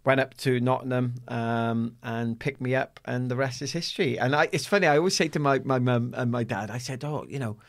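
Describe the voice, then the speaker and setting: A man in his forties with a Newport, South Wales accent, a guest telling lively stories in a podcast studio, full of fillers and laughter. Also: medium pitch, brisk, few pauses. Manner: animated storyteller, laughs often, many fillers. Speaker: guest, former athlete. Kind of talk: casual interview chat. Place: small padded podcast studio. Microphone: studio mic on boom arm.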